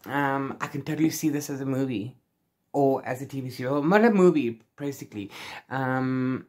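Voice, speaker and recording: Male book vlogger, forties, mid-pitched voice, unscripted and playful, tends to double back on his sentences. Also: normal speed, a little mellow. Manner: rambling, self-correcting, playful. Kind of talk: casual unscripted chat to camera. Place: small room at home with bookshelves.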